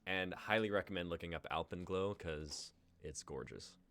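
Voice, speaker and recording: A man in his twenties with a deep voice. He is a playwright on a stream, moving between narrating stage directions and casual chat about his writing. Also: moderate pace, a bit bright. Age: 20s.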